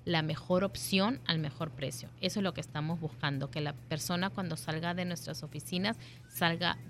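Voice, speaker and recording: Woman w/ lower voice explaining insurance stuff, calm and direct. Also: normal speed, soft background music. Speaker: insurance agency representative. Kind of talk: explanatory talk.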